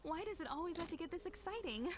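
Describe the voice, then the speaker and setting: Composed, high-voiced woman navigator. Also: lively intonation, fast talker. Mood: reassuring. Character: composed, motherly woman navigator of a space train. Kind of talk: video game voice acting. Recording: music behind the voice.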